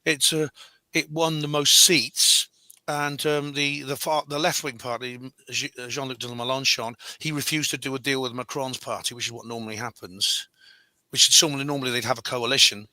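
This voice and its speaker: Hoarse guy with a cold, bright edgy tone, keeps correcting himself. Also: medium pitch, fairly even intonation, no echo. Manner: halting, self-correcting, wry. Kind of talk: casual chat.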